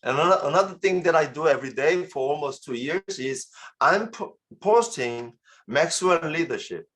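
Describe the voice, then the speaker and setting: A man's voice: a little high, expressive, moderate pace, a bit bright. A Korean-accented man speaking English as a second language, giving an earnest motivational business talk and often repeating and restarting his words.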